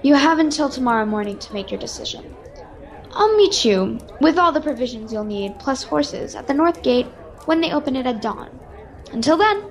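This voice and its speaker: A female performer playing a brisk, secretive noblewoman in a radio-style adventure serial. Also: high-pitched, lively intonation. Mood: earnest. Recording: video call with background music.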